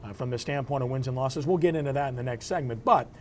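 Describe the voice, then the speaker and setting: A man's voice: mid-range voice, quick pace, slight background noise. A man hosting a sports talk show, presenting and discussing in a broadcast style. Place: live remote broadcast from a local venue.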